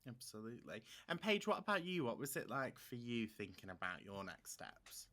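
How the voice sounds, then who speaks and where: A man's voice: mid-range voice, sing-song, normal speed, bright, forceful tone. A man hosting a roundtable interview, upbeat and encouraging as he introduces guests and asks questions. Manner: upbeat, encouraging, fluent presenter. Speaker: panel host.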